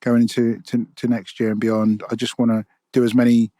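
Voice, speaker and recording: A man's voice: medium pitch, level tone, a little fast, mellow, no echo, studio quality. Earnest man guesting on a podcast. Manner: earnest, restarts and repeats words while thinking. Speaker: guest, poet and storyteller. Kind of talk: casual podcast interview.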